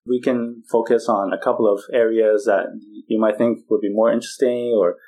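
Man, interview host, low mellow voice, relaxed and friendly. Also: normal speed. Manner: friendly, relaxed, uses fillers. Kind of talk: conversational interview.

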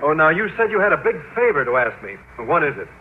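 An American actor playing a bashful, naive, mild-mannered teacher with earnest innocence in radio sitcom style. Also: male voice, fairly high pitch, big pitch swings, fast talker. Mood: puzzled. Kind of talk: 1940s radio sitcom acting. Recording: tinny, noisy vintage radio recording.

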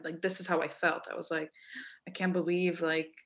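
A woman's voice: fairly low pitch, moderate pace. A young woman from Lebanon telling a personal story in casual conversation, acting out what people said. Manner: animated, quoting people in voices.

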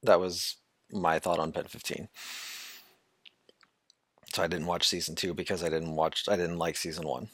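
A man co-hosting a casual podcast chat, easygoing but quick to protest. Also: low voice, level tone, fairly bright tone, slightly rough, dry recording. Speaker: podcast co-host.

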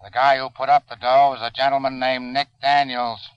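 A man's voice: mid-range voice, flat delivery, normal speed, background noise, close to an everyday voice. An American man acting a skeptical, slangy city police sergeant in an old radio drama. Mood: sardonic, skeptical. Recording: dull vintage radio recording.

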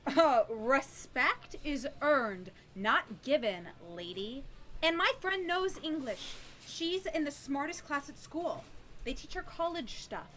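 A woman plays a feisty, combative schoolgirl in a comedy sketch. Her high, bright voice moves with lively swings. She comes across as bossy and defiant. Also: slow pace, slight background noise, heavily acted.

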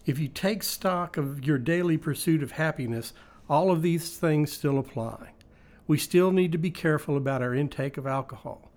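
Devotional reader, male, pitched a little high. Reflective scripted delivery that poses questions to the listener. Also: moderate pace, a little mellow. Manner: reflective, posing rhetorical questions.

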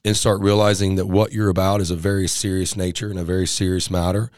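Deep-voiced man, earnest and talky. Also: little pitch movement, fast, a little mellow, dry recording, studio quality. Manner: earnest, many restarts, tags lines with right?. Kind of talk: casual chat.

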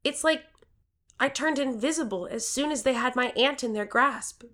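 13-year-old kid, audio drama narration, high pitch, bright voice, earnest, expressive. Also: female voice, normal speed. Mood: uneasy. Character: plucky, earnest, distraught 13-year-old child game-show contestant.

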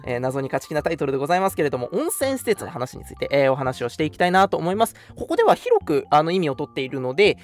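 Young man, radio host, expressive intonation, casual polite chat, soft music bed. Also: on the high side, racing, few pauses. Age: young adult.

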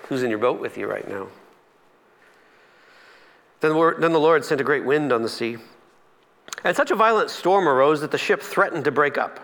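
A man's voice: fairly high pitch, a bit bright. A man preaching as a pastor in a conversational storytelling style, acting out dialogue and repeating phrases for emphasis.

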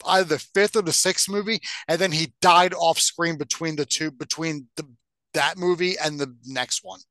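A man with a slightly high voice talks as a podcast co-host, recorded close and dry. His delivery is casual and opinionated, with blunt phrasing and easy swearing. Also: steady tone, moderate pace, pushed and bright, dry recording. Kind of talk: casual opinionated film and game chat.

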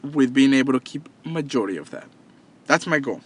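A man's voice: mid-range voice, expressive, a little fast, husky. A man of thirty talking earnestly and casually to his listeners, repeating himself and restarting often as he goes. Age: 30s. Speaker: podcast host and entrepreneur. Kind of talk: casual motivational monologue.

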